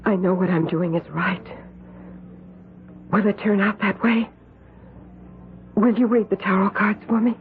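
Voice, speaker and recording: A woman's voice: mid-range voice, steady tone, measured pace, pauses often, a little hiss or hum, lightly acted. An actress playing an anxious, earnest young woman devoted to a coven, in tense, dramatic radio drama style. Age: young adult. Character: anxious, intense young woman, a witch disciple. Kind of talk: 1970s radio drama acting and first-person narration. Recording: tinny old radio broadcast, music underneath.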